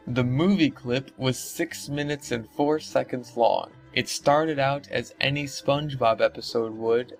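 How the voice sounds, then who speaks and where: A man reading aloud and breaking off for sarcastic, incredulous, sweary commentary. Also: medium pitch. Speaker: host reading and mocking internet horror stories.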